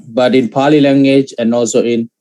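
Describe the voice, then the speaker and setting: A man whose first language is Sinhalese, teaching Pali grammar in an online lecture, speaking in halting phrases with many fillers and restarts. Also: mid-range voice, dark tone, rough recording. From Sri Lanka.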